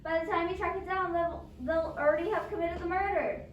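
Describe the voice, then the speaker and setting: A woman's voice: very high voice, fairly even intonation, brisk, dull audio. A woman playing an anxious, demanding bedridden wife in a suspense play, stammering and insistent. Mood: indignant, pressing. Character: anxious, demanding bedridden invalid wife, fretful and self-absorbed. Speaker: amateur drama club actor. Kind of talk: stage adaptation of a radio suspense play. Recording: roomy echo, distant mic, background noise.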